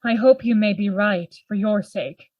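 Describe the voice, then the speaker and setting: A woman playing a formal, worried gentleman lawyer and loyal friend in a gothic radio drama. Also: medium pitch, normal speed, dry recording, highs cut off, natural voice. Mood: grave, urgent. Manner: formal, earnest, theatrical. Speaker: actor in a radio play.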